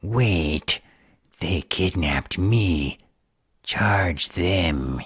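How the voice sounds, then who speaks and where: A man playing a sullen gremlin villain in a fantasy audio drama, with a husky, breathy rasp and a whiny, defiant way of talking. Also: low voice, expressive, very slow, dry recording, exaggerated voice. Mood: defensive. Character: sullen, guilty male gremlin stalker in custody.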